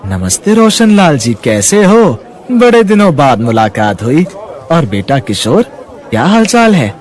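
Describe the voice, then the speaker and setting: A devout, cordial temple priest acted by a man in a devotional story. His voice is fairly high and very animated, with a faint crowd behind it. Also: unhurried. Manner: cordial, reverent. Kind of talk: dramatised Hindi devotional story.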